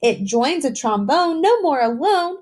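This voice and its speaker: A woman teacher reading a picture book aloud to young children, enthusiastic and encouraging, with expressive phrasing and simple questions for her listeners. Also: high-pitched, measured pace. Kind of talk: read-aloud lesson addressed to young children.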